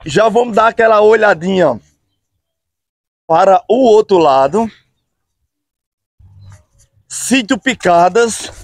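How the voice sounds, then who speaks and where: A man, hosting a casual outdoor vlog from a bridge and filming himself on a phone at arm's length, talking in an upbeat way with drawn-out phrases. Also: high-pitched, lively intonation, no room sound, clean studio sound. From Brazil. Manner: upbeat, drawn-out phrases, frequent "hein, pessoal?" tags. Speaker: channel host. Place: outdoors on a road bridge over a river. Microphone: phone camera at arm's length.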